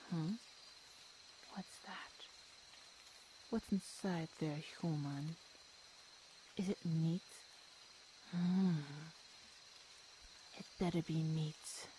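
Casting: female actor as predatory cat-girl monster for ASMR roleplay; very slow, lowish pitch, teasing and menacing, hums and sighs. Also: mellow, a little hiss or hum, low-quality recording. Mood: suspicious, hungry.

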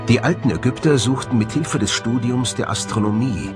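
A man delivering scripted documentary narration in a measured, dramatic way, as the presenter of the film. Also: medium pitch, music in the background.

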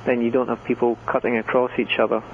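A man's voice: medium pitch, level tone, fast talker, slight background noise. A man hosting a talk show, calling in over the phone and chatting in short, casual remarks. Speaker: podcast host calling in. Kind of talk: casual chat. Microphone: phone line. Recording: tinny phone line.

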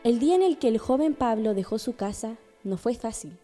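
A woman delivers scripted radio drama narration. Her voice is somewhat high yet extremely warm and dark in tone, with faint music behind it. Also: expressive, fairly quick.

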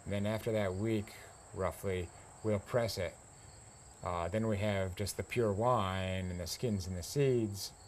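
A middle-aged American man, a farmer and winemaker, explains his work casually and haltingly in an interview. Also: fairly low pitch, fairly even intonation, a little mellow. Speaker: grape grower and winemaker being interviewed. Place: outdoor covered porch beside a pond. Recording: faint outdoor insect noise.

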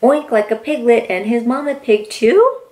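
A woman in her thirties reading a picture book aloud to young children in an excited, encouraging, exaggerated way. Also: on the high side, moderate pace. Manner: exaggerated, sing-song animal noises and questions. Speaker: children's read-aloud host. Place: indoor room with plain wall.

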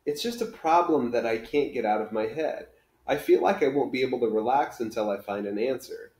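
A man's voice: mid-range voice, brisk, darkish tone, lightly acted. A man reading a children's book aloud as a bookish, literal-minded schoolboy with a precise, matter-of-fact manner. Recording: roomy living-room echo.

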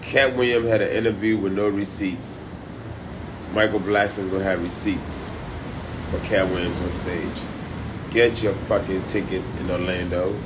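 A man livestreaming from a high-rise room by the beach on a phone at arm's length, talking loud, profane and animated. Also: normal speed, noisy background. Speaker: comedian livestreaming. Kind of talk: casual live stream chat. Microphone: phone held at arm's length.

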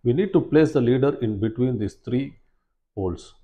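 A man in his forties presenting an instructional fishing demonstration in a measured, step-by-step way, recorded indoors. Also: medium pitch, lively intonation, narrow, tinny audio. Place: small plain room indoors, seated at a table.

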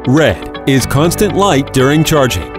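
A man's voice: medium pitch, sing-song, a little slow. A man giving a scripted, step-by-step instructional narration for a product demonstration. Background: background music.

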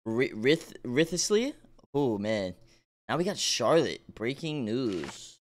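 A man's voice: medium pitch, very animated, slow. A man giving slangy, appreciative reaction commentary as a video host.

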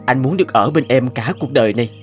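Guy doing devoted rich boyfriend in a cartoon, mid pitched, earnest n polite, music clearly behind. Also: fast talker, natural voice. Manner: affectionate, earnest. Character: devoted, courteous young rich boss and boyfriend. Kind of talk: animated drama dubbing.

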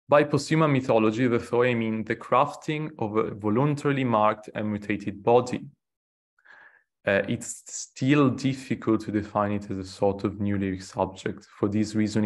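Man giving a halting, measured lecture. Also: on the low side. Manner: measured, halting delivery with frequent fillers and restarts. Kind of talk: academic lecture. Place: small room indoors. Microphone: webcam on a video call.

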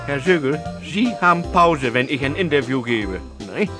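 An actor playing a pedantic, easily irritated bank director who talks formally and scolds, in radio comedy. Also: male voice, on the high side, lively intonation, moderate pace, music in the background, natural voice. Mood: exasperated. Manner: formal, stammering jargon, scolding. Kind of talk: radio comedy sketch acting.

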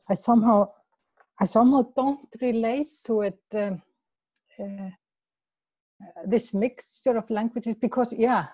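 A woman from Iceland with an Icelandic accent, speaking in a casual discussion over a video call, hesitant, repeating words and laughing softly. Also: on the high side, breathy edge, no echo, dull audio. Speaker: class participant.

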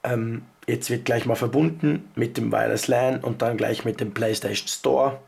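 A man, hoarse from a cold, casually reviewing a handheld gadget and sounding a little sarcastic about its maker. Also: medium pitch, steady tone, normal speed, darkish tone. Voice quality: gravelly. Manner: chatty, offhand, mildly sarcastic about the maker. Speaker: tech video host. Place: indoors at a table, off camera.

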